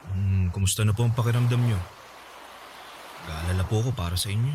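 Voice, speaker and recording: A man playing a caring adult son in a radio drama, speaking with gentle, coaxing concern. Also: fairly low pitch, fairly quick, noisy background, lightly acted. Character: caring adult son fussing over sick mother.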